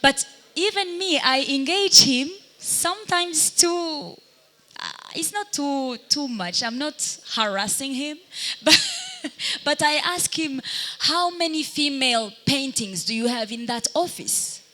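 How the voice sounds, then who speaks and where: Woman speaking with halting reflection. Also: high voice, lively intonation, sharp tone. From Burundi. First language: Kirundi. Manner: halting and reflective, pausing to search for words. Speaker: dancer and panelist. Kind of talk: live panel discussion answering audience questions.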